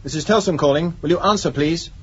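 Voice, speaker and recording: An actor playing a dutiful, cautious young spaceship commander in a radio drama, firm, clipped and authoritative. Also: male voice, fairly high pitch, fast, husky. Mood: firm, anxious. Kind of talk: 1980s radio drama acting. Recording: dull old radio recording.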